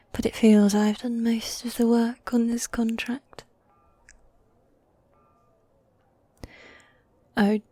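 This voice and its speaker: A woman playing a teasing, needy yet dominant goth demon girlfriend in a fantasy ASMR roleplay, soft and breathy, often whispering, close to the mic. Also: fairly high pitch, no room sound. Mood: playfully chiding. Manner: teasing, coy, affectionate, with sighs. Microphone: close, dry microphone.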